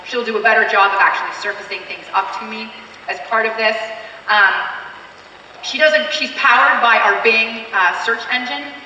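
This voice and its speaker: A woman's voice: a little high, normal speed, bright, forceful tone, muffled recording, slight background noise. A woman with a Canadian accent giving an upbeat, chatty live product demo on a convention stage, with frequent fillers. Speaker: keynote presenter. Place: large convention hall stage. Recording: distant mic in echoey hall.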